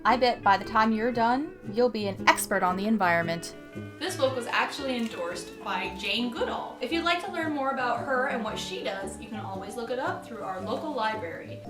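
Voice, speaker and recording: A woman's voice: on the high side, animated, a little fast, music in the background. A woman hosting a children's story time, reading aloud expressively and explaining things simply to young listeners.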